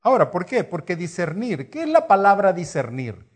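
A man's voice: high voice, wildly swooping pitch, moderate pace. A middle-aged man with a Salvadoran accent preaching a conversational sermon, teaching with rhetorical questions and repeated phrases. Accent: Salvadoran Spanish.

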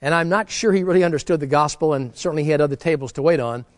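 A man's voice: on the high side, very fast, no echo, clean studio sound. A middle-aged man preaching a sermon, earnest and exhorting, often restarting his words as he talks. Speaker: pastor.

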